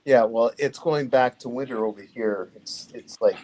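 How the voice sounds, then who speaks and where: A man's voice: mid-range voice, moderate pace, background noise. A man making small talk as a guest on a livestream. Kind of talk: casual livestream chat.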